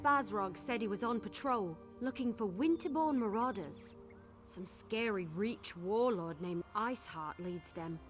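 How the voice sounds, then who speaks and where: A woman playing a brash, sardonic young caravan guard in a fantasy video game, blunt and expressive. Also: mid-range voice, measured pace, soft background music, lightly acted. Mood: earnest, wry. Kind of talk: video game dialogue acting.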